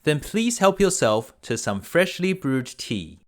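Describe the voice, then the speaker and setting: A man reads as a gentle castle beast from a fairy tale. He is courteous and earnest, with lively swings of pitch and a lovesick, measured way of speaking. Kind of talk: storybook character voice.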